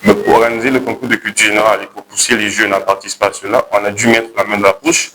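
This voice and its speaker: A young man with a Congolese accent pitching his project to a jury, hesitating and correcting himself as he goes. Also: flat delivery, fast talker, pushed and bright, a little hiss or hum, low-quality recording. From Republic of the Congo. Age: young adult. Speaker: contest candidate pitching a project.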